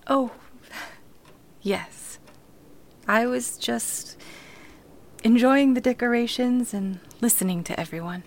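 A woman playing a sweet, devoted young granddaughter in a radio play, quiet, thoughtful, hesitant and earnest. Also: fairly high pitch, slow, pauses often. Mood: quiet, tender. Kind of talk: sentimental radio play acting.

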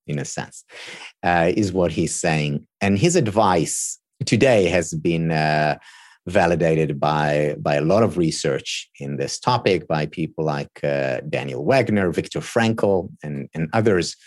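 A low-pitched man whose first language is Hebrew, talking as an interview guest. He explains in an earnest way, builds his points with examples and circles back on words while he thinks. The sound is dry and close. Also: darkish tone, dry recording. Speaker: guest expert. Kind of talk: thoughtful interview talk.

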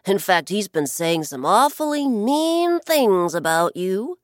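A woman's voice: medium pitch, big pitch swings, slow pace, no room sound, clean studio sound. A woman voicing a scheming, mischievous male blackbird in a children's story, talking in a sly, conspiratorial way.

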